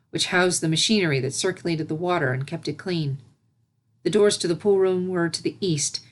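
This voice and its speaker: Woman reading a storybook out loud, voice a bit on the low side, narrator style. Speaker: narrator, fan fiction reader.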